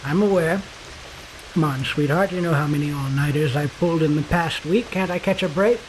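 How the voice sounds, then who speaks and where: A man's voice: fairly high pitch, brisk, echoey room, background noise, natural voice. A man playing a weary, wry, hard-boiled 1950s police detective in a noir radio drama. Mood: tired, wry.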